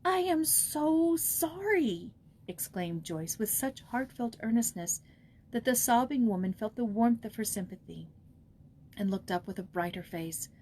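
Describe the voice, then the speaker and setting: A woman's voice: medium pitch, moderate pace, mellow. A woman reading a scripted children's story as an audiobook narrator. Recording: noticeable background noise.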